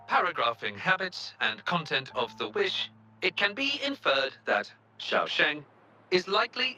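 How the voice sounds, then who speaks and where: A man's voice: fairly high pitch, pushed and bright, a little hiss or hum, full character voice, poor audio. A man voicing a small, polite robot assistant in a video game, speaking in formal, literal, procedural announcements. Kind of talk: video game character dialogue.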